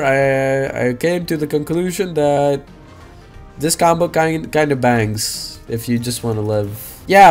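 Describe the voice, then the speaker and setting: A young man casually commentating his own gameplay on a headset mic, swinging into loud, swearing outbursts. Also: on the high side. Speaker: game streamer. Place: small room indoors.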